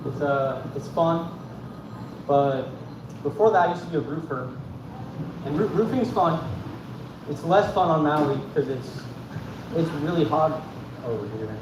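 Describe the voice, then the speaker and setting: A young man doing his first open-mic stand-up set, hesitant and halting, with many fillers. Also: fairly high pitch, expressive. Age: young adult. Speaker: first-time comedian. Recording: echoey room from far off, noisy.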